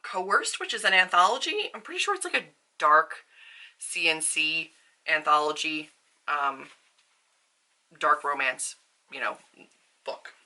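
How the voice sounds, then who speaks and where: A woman talking in a casual, upbeat, chatty vlog style, informal and full of quick asides. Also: sits low, expressive, sharp tone. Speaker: book vlogger and author. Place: indoors at a desk at home.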